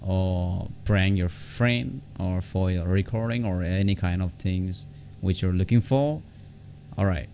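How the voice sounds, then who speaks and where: Male tutorial vlogger, very low creaky voice, informal and halting, repeats himself, faint background noise. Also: mellow. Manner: halting, repeats words and phrases. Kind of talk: informal step-by-step software tutorial. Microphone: separate desktop condenser microphone.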